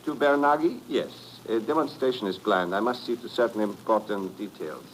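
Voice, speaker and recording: Guy doing smooth calculating radio villain, low voice, super polite n formal, slightly noisy old recording. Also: natural voice. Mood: smooth, courteous. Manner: smooth, measured, formally courteous. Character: suave, calculating male European criminal boss. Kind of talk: 1950s radio drama acting.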